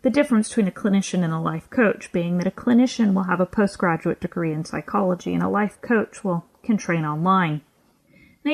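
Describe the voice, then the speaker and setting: A woman presenting a scripted explanatory podcast segment in a measured, lecturing manner. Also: on the low side, gravelly.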